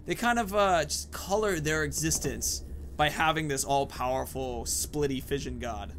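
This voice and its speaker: A man's voice: a little high, moderate pace, fairly bright tone, slight background noise. A man giving casual let's play commentary, musing aloud with joking asides as he goes.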